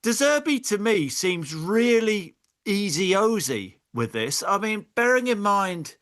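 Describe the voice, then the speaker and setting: Halting, emphatic male football pundit. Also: high voice, sing-song, fairly bright tone. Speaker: former player pundit. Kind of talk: football debate.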